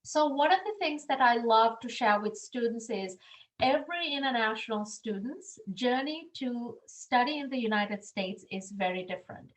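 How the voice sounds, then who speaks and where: A woman's voice: fairly high pitch, lively intonation, normal speed, poor audio. Earnest woman from Sri Lanka presenting. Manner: earnest, frequent ums, encouraging. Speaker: university international admissions staff. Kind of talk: webinar presentation. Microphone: video call.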